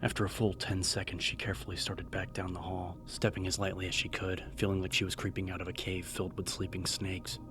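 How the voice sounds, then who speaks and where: A man narrating a scripted horror audiobook in a measured, serious storytelling manner. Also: deep voice, flat delivery. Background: music.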